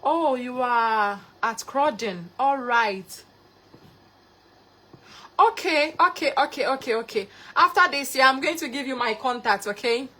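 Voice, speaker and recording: A woman's voice: high-pitched, expressive, measured pace, pushed and bright. A young woman from Ghana with a Ghanaian accent, hosting a live cooking stream and greeting viewers by name with repeated thanks. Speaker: cooking livestreamer.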